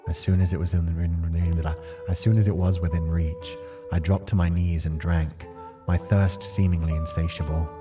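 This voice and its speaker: A man reading a visual novel as a weary, somber wanderer recounting a desperate trek in measured tones. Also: sits low, natural voice.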